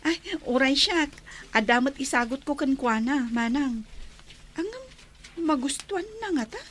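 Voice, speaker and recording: A woman's voice: high-pitched, animated, a little slow, a bit bright, a little hiss or hum. A woman with an Ilocano accent playing a sweet, deferential younger sister in a radio comedy-drama. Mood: sweet, eager.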